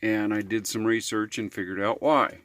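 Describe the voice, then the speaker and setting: A man's voice: fairly low pitch, steady tone, moderate pace, a bit bright. An American man vlogging in a casual, unscripted way, talking in a folksy, rambling manner with homespun slang. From United States. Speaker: vlogger on RV living and repairs. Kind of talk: casual unscripted vlog talk.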